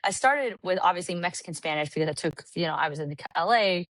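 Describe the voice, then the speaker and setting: Animated california woman chatting on a podcast, lots of "like", dry sound. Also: fairly low pitch, quick pace, no room sound. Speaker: podcast guest. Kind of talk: casual chat. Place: Puerto Rico.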